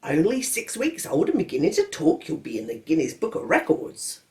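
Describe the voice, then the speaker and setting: A woman's voice: low voice, huge pitch swings, moderate pace, darkish tone, pitched above the performer's own voice. A woman voicing a jolly, dry and patronising middle-aged male doctor, in a storybook reading.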